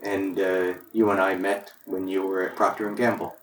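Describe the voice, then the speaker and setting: A man interviewing a guest in a soft, reflective conversational way, quietly affirming what he hears. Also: sits low, level tone, normal speed, crowd noise. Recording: roomy sound from off mic, faint background noise.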